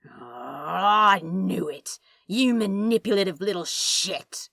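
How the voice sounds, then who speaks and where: A woman's voice: medium pitch, lively intonation, slow, vocal fry, a bit bright, no echo, studio quality, exaggerated voice. Woman voicing a furious growling male demon. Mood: angry, accusing. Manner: growling, hissing, swearing. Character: furious, wounded, foul-mouthed male demon.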